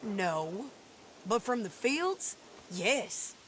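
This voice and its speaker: A woman's voice: medium pitch, very animated, drawn-out words, a little hiss or hum. An actress playing a tough, determined frontier woman with an American Western accent in a video game, blunt and headstrong. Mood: resolute. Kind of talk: dramatic video game acting.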